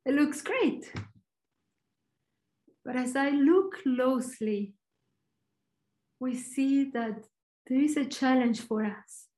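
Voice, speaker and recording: A woman with an Argentinian accent giving a short, earnest devotional talk, reflective and pausing. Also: high-pitched, a little mellow. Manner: earnest, reflective, slow pauses. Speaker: church speaker.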